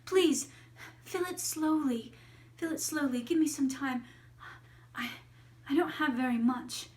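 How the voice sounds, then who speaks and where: A woman's voice: sits high, a little slow, darkish tone, pitched above the performer's own voice. A woman voicing a polite, frightened little girl in a fairy tale, hesitant and repeating herself. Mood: pleading.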